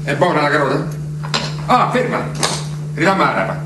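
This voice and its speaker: An actor playing a fretful, muddled tramp in a stage play, talking in a flustered, fussy way. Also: male voice, fairly high pitch, big pitch swings, moderate pace. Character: fretful, muddled tramp, middle-aged man. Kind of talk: stage play recorded live. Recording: echoey stage from far off, noisy.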